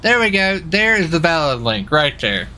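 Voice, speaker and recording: A man's voice: on the high side, sing-song, moderate pace, crowd noise. A man livestreaming a game, chatting casually in a rambling, repetitive way. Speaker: livestreamer and role-play gamer. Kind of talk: casual chat while streaming a game. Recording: faint background noise.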